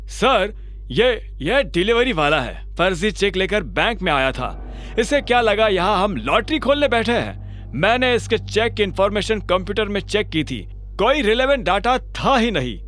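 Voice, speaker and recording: A man's voice: sits high, sing-song, unhurried, fairly bright tone, faint music behind, voice pushed up, heavily acted. A man voicing a hesitant, defensive male bank employee in an audio drama. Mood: defensive, accusing. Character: nervous, accusing male bank employee, pleading with boss.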